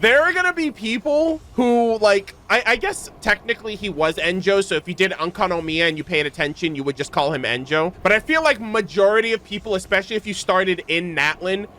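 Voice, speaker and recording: A man giving live game reaction commentary in casual, animated, slangy talk as a streamer. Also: sits high, a little fast, few pauses, a little hiss or hum.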